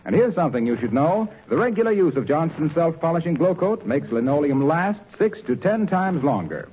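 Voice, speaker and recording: Animated vintage male radio announcer. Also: a little high, brisk. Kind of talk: 1940s radio announcing, scripted sponsor reading. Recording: dull, faintly noisy old radio recording.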